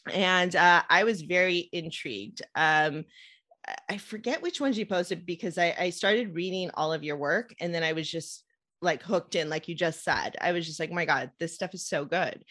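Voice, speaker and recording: A woman from the United States hosting a podcast, talking in an animated, excitable way with plenty of fillers. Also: fairly low pitch. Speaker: podcast host, nurse practitioner. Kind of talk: casual podcast interview.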